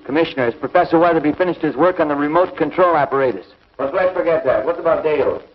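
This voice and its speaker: A commanding young space hero. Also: male voice, fairly high pitch, fast talker, slightly rough. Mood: impatient, urgent. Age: young adult. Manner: curt, commanding, clipped orders. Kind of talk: 1950s TV sci-fi serial acting. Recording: dull, noisy 1950s TV soundtrack.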